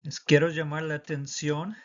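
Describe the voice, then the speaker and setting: A man's voice: on the high side, level tone, normal speed, highs cut off. A man teaching a lesson for the citizenship test, dictating patiently and switching between Spanish and English.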